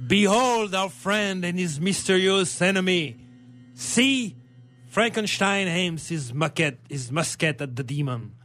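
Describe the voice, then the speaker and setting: A man with a French accent playing an urgent, alarmed gentleman in a melodramatic radio play. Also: high voice, animated, measured pace. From France. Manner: urgent, exclamatory. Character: alarmed gentleman friend shouting a warning. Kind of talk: melodramatic radio theatre acting.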